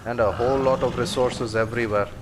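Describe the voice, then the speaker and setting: A man's voice: mid-range voice, steady tone, fast talker, background noise. A man casually commenting on his game during a live stream, rambling along with many fillers. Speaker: gameplay streamer.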